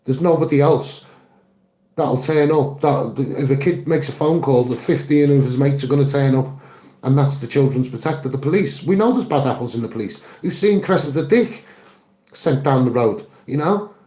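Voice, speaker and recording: A man's voice: mid-range voice, moderate pace, warm tone, reverberant. A man with a Liverpool Scouse accent giving an impassioned campaigning monologue as an activist, earnest and emphatic, repeating phrases for stress. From Liverpool, England. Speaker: activist and online video maker.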